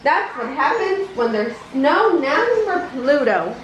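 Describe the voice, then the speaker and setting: Woman acting friendly male cartoon mouse, high-pitched, coaxing, mildly scolding, storybook character, roomy echo. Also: big pitch swings, slow, heavily acted. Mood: exasperated. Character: friendly male cartoon mouse, patient, easily exasperated dog owner. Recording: roomy echo, noticeable background noise.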